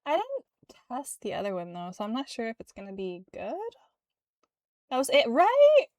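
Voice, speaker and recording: A woman livestreamer chatting casually with her viewers in a playful, giggly, expressive way. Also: on the high side, normal speed, dry recording, studio quality. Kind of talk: casual chat with stream viewers.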